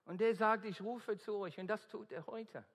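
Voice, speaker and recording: Australian preacher in an echoing hall. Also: male voice, high voice, normal speed, fairly bright tone. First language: English. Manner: earnest, emphatic, searching for words in German. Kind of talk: evangelistic sermon. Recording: long reverb of a hall.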